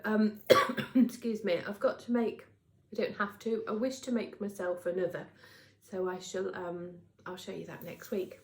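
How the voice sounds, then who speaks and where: A woman's voice: medium pitch, warm tone. A middle-aged British woman with a congested, slightly nasal voice, chatting casually and ramblingly to camera as host of a knitting vlog. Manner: chatty, rambling, emphatic repetition, tag questions. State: congested from a cold. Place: indoors at a craft workplace. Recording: roomy, echoing indoor sound.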